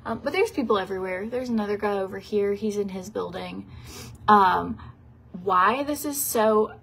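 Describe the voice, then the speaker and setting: A woman's voice: mid-range voice, normal speed, creaky, a bit bright, husky. Sniffly woman chatting to her phone. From Tennessee, US. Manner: chatty and rambling, lots of likes and ums. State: sniffly from a cold. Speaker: beauty vlogger. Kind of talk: casual get-ready-with-me chat. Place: fancy hotel room, indoors. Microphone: phone held at arm's length.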